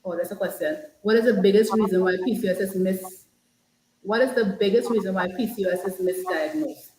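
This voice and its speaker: A woman host asks questions in a curious, chatty manner. Her voice sits at a middle pitch and comes through an echoey room with a faint hiss behind it. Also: brisk, mellow. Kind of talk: interview host reading questions.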